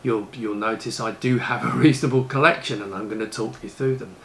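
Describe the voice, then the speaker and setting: A man's voice: mid-range voice, fairly quick, a little hiss or hum. A man, presenting a casual retro computing vlog. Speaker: YouTube presenter and computer case maker. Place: indoor rooms with shelves of retro computers.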